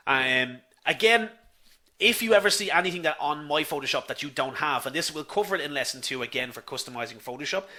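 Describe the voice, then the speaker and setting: Bright-voiced Dublin man teaching a live class. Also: a little high, lively intonation, fairly quick. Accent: Dublin Irish. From Ireland. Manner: chatty, says "folks" often, reads viewer comments aloud. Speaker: online instructor.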